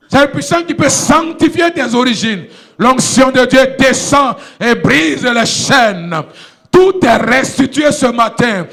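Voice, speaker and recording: A pastor from Ivory Coast leading a church in shouted, repetitive prayer declarations. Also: male voice, extremely high pitch. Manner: repetitive, commanding, chant-like. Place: church sanctuary.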